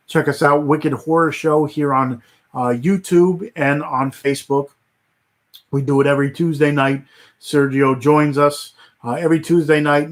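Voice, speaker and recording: Rambling man on a livestream, voice going hoarse. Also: a little high, fairly bright tone. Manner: rambling, many fillers and restarts. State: voice getting hoarse. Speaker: livestream host. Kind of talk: casual livestream chat.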